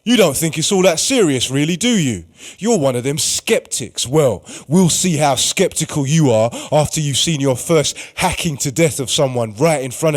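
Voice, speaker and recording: Security officer, gruff and taunting, blunt matey delivery, radio drama; male performer acting the part. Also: a little high, voice pushed up, natural voice.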